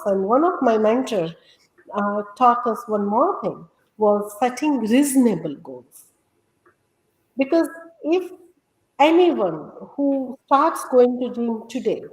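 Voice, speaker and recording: A woman from India, a Gujarati speaker, explains her ideas earnestly as an interview guest and health coach. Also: mid-range voice. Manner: earnest, explanatory, steady teaching tone. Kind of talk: conversational interview. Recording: echoey room sound.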